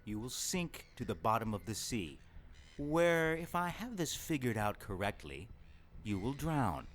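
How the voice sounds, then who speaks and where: Man playing a slow, gloating demon villain. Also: medium pitch, very animated, slight background noise. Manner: pompous, menacing, theatrical, deliberate delivery. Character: gloating male demon villain posing as human lover. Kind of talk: audio drama acting.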